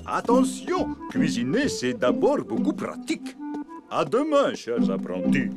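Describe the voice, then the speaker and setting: A man's voice: fairly high pitch, wildly swooping pitch, measured pace, exaggerated voice. A man playing a flamboyant, theatrical celebrity chef giving a cookery lesson in a French children's cartoon, with music under the voice. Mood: grandly instructive. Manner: theatrical, exaggerated delivery with big swoops.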